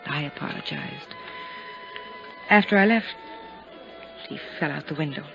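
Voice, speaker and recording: An actress playing a proud, guarded widow in a 1950s radio drama, speaking with dry poise. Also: female voice, deep voice, a little slow, creaky. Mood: measured, defensive. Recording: dull 1950s radio recording, faint music.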